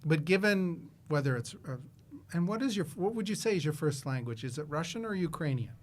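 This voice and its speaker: A man's voice: fairly high pitch, animated, a little fast. A man from Canada with a Canadian accent, hosting a casual interview in a chatty, emphatic way. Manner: chatty, emphatic, repeats for stress. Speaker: podcast host.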